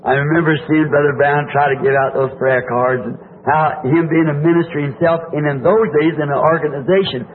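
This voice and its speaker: An older man preaching to a congregation in a hoarse voice, folksy and rambling, asking the crowd questions. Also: fairly high pitch, fairly even intonation, brisk, hardly any pauses. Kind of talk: sermon. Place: church meeting. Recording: tinny lo-fi old tape with faint hiss.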